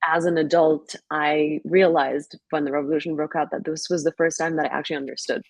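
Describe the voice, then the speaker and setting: A woman's voice: low-pitched, little pitch movement, fairly quick, dry recording. A young woman from Lebanon, a filmmaker answering interview questions in a reflective way, with many fillers and restarts. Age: young adult. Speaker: filmmaker guest.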